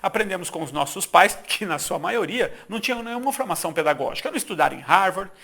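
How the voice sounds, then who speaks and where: A middle-aged Brazilian man narrating a persuasive sales pitch for his course, emphatic and full of rhetorical questions. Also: lively intonation, fast talker, vocal fry, sharp tone. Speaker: course founder pitching an English course.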